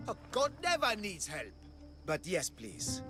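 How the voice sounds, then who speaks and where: Slow, high-pitched, pompous self-proclaimed god. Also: male voice, wildly swooping pitch, full character voice. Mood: self-important. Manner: pompous, theatrical, declamatory. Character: grandiose, deluded self-proclaimed male god and prophet. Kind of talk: video game cutscene acting. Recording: music playing behind the voice.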